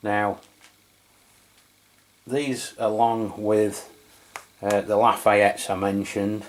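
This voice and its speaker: Middle-aged English male reviewer, lowish pitch, very unhurried, halting and conversational. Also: level tone, poor audio. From England. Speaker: radio review channel host. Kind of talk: casual product review commentary.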